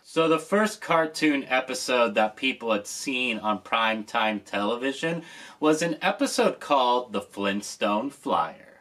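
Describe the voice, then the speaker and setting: A man hosts a nostalgic commentary, speaking slowly and with real enthusiasm. His pitch rises and falls freely as he stresses each point. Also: expressive, a bit bright, a little hiss or hum. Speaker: host.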